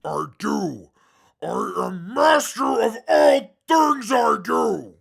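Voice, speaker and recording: Male actor as imperious, scornful ex-scout for radio drama: rough-edged, bright and forceful, short fuse. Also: sits high, sing-song, drawn-out words, full character voice. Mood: indignant. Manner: haughty, scornful, formal wording. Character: arrogant, short-tempered, imperious male former wasteland scout. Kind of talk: post-apocalyptic audio drama acting.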